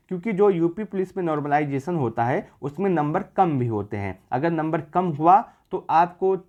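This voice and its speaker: A man's voice: fairly high pitch, darkish tone. A man presenting an exam-prep video, explaining figures informally in an assured, repetitive way. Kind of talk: informal explanatory data commentary.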